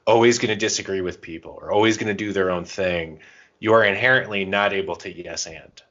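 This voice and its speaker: Muffled, lo-fi audio carries a very low-pitched man's voice. He talks casually as a podcast co-host, explaining things through examples in a loose, conversational way. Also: brisk, a bit bright. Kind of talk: casual conversational advice and anecdotes. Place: podcast recording. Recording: dull, muffled lo-fi sound.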